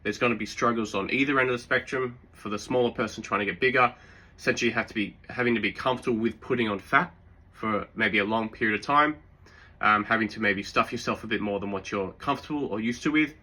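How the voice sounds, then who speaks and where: A man with a sore throat giving relaxed, rambling fitness advice, full of fillers and restarts. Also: on the low side, fast talker. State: sore throat, occasional coughs. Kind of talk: casual advice talk.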